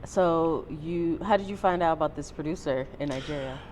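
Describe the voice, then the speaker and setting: A woman's voice: sits low, moderate pace, a little mellow. A woman hosting an interview, asking questions and backing the guest with many short affirmations. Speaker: host. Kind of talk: casual interview chat. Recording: faint background noise.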